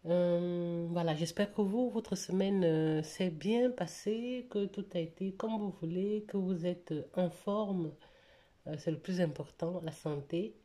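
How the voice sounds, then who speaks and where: A woman's voice: lowish voice, a little slow, warm tone. A woman vlogging about her weekly budget, talking casually with many hesitations, slightly stuffy with a cold. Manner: hesitant, many fillers and restarts. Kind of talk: casual budget vlog to camera.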